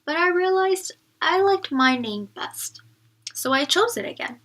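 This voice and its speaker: A woman's voice: extremely high pitch, animated, measured pace, a bit bright, voice pushed up, full character voice. A woman voicing a shy, earnest and polite young schoolgirl in a children's storybook.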